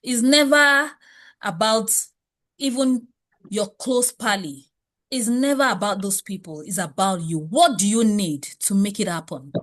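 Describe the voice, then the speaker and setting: A woman's voice: medium pitch, unhurried, a bit bright. A woman leading a motivational money class, speaking emphatically and preachily with many rhetorical questions. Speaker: wealth coach.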